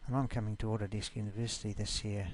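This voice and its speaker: A man from New Zealand with a New Zealand accent, giving an easygoing, informal screencast walkthrough of a software class. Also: fairly low pitch, fairly even intonation, quick pace.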